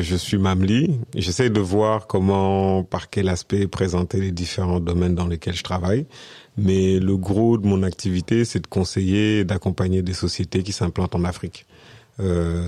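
A deep-voiced man in his forties from Senegal, talking casually and hesitantly, with many pauses and restarts. His tone is dark and mellow, and the sound is dry and close. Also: dry recording. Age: 40s. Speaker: podcast guest, business consultant. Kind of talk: casual interview conversation.

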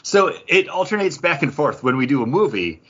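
Husky, animated male podcast co-host. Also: a little high, brisk, muffled recording. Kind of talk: casual chat about comics and TV.

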